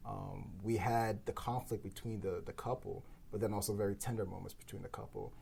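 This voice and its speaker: A man's voice: fairly low pitch, flat delivery, fairly quick, vocal fry, warm tone, a little hiss or hum. A man co-creating a podcast, talking through ideas in a thoughtful, rambling way with many fillers. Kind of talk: casual round-table discussion.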